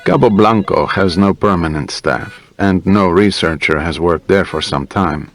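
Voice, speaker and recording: A man voicing a courteous, careful hospital doctor in an audiobook, with measured, formal phrasing. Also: deep voice.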